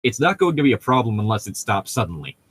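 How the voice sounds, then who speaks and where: Guy with a kinda low voice, nerdy podcast chat, explains stuff and trips over his sentences. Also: sing-song, quick pace, a bit bright, husky, no room sound. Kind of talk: casual chat.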